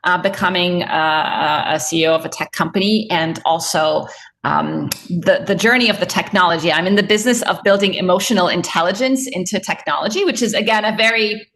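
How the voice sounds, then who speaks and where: A woman's voice: fairly low pitch, a little fast, a bit bright. A woman from Egypt, a tech entrepreneur answering panel questions over a video call in an earnest, explanatory way.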